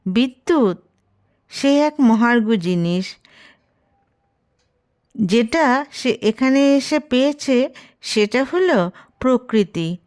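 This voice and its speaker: A woman reading a short story as scripted narration, expressive and gently wry. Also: a little high.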